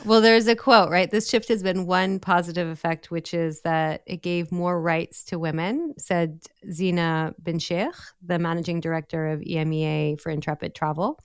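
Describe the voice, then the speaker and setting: A woman's voice: on the low side, fairly even intonation, moderate pace, hardly any pauses, smooth, no room sound, studio quality. A woman co-hosting a podcast, talking through news in a casual, hesitant way with many ums and restarts. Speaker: podcast co-host.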